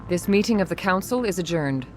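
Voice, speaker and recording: Game councilor, female actor: dignified alien, lowish voice, deliberate diplomacy, prominent score. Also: lively intonation, close to an everyday voice. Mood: ceremonial. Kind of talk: video game acting, ceremonial. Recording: loud music behind.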